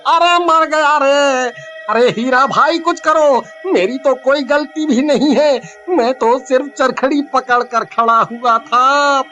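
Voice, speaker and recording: A man voicing a cowardly, excitable villager, alarmed and pleading, in a comic horror cartoon story. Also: very high-pitched, full character voice. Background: music.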